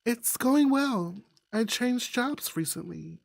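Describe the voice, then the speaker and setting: Woman playing a high-voiced, worried young daughter. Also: expressive, slow, creaky, no room sound, full character voice. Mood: anxious, tender. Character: Michelle, worried, polite, dutiful adult daughter.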